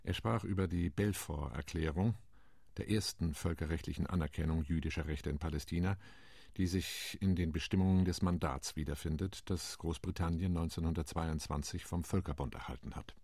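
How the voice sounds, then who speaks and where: Diplomat, super low gravelly voice, dry studio sound, ironic and measured. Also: male voice, steady tone, darkish tone, dry recording. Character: Latin American UN delegate, measured, indignant diplomat. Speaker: delegate narrating in monologue. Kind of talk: radio play monologue.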